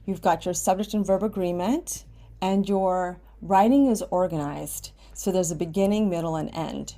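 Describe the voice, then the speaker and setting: A woman's voice: lowish voice, moderate pace, warm tone. American woman teacher giving step-by-step instructions. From Washington State, United States. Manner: simple instructional phrasing with checking okays. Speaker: ESOL writing teacher. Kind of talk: step-by-step screen tutorial for students. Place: indoors at a computer, screen recording with webcam.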